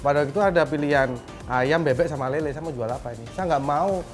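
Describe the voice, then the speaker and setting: A man's voice: on the high side, fast talker, faint music behind. A man from Central Java, a restaurant owner explaining things informally to camera. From Magelang, Central Java, Indonesia. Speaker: restaurant owner being featured.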